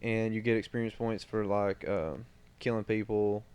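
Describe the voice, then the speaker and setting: A man with a fairly low voice co-hosting a casual podcast. He talks in a relaxed, matter-of-fact way, chiming in with details and easy remarks. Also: moderate pace, smooth. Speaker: podcast co-host. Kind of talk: casual chat about comics and games.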